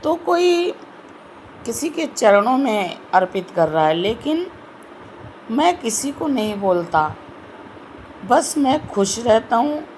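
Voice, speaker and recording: A woman with a sore throat giving a slow, earnest devotional talk in an online video. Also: a little high, sing-song, darkish tone, slight background noise, low-quality recording. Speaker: spiritual video host.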